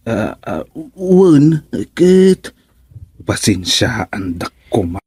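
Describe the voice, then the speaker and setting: A man's voice: mid-range voice, big pitch swings, extremely slow, a little mellow, husky, no room sound, clean studio sound, full character voice. A Filipino man playing a flustered, henpecked village councilman in a radio comedy, stammering and making excuses. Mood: nervous, apologetic. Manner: stammering, hesitant, exclamatory. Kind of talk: comedic radio drama acting.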